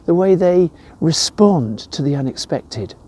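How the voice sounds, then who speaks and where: A man's voice: on the high side, expressive, a little slow, mellow, breathy edge. An older man with an English accent giving an informal devotional talk in an emphatic way, with personal anecdotes. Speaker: church devotional presenter.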